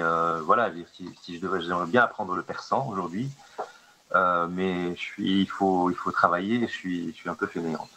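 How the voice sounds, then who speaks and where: Deep-voiced man, hesitant. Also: pushed and bright, a little hiss or hum, rough recording. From Lebanon. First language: Arabic. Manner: hesitant, many fillers and restarts. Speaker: artist, conference guest. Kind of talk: answering questions at a conference discussion.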